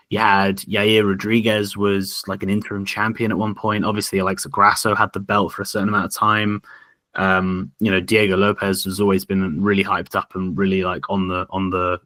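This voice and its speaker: Deep voiced guy hosting a podcast, bright forceful tone, rambles a bit, dry sound. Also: no echo. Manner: rambling, thoughtful, leads the talk. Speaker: podcast host. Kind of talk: casual podcast chat.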